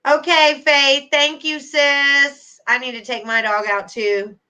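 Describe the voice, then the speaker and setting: A woman's voice: sits high, fairly even intonation, slow pace, bright, forceful tone. A middle-aged woman hosting a casual livestream, chatting to viewers by name with endearments. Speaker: YouTube livestream host.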